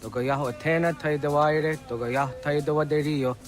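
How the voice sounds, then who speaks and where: A man's voice: fairly high pitch, steady tone, quick pace, close to an everyday voice. A man acting a grave, earnest villager, music behind. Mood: grave explaining. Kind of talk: video game cutscene acting.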